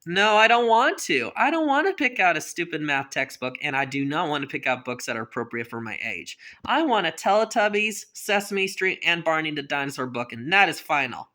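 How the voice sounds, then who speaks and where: Lady doing a spoiled brat kid, whiny and defiant, bright voice. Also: deep voice, big pitch swings, a little fast, few pauses, full character voice. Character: bratty whiny boy throwing a tantrum.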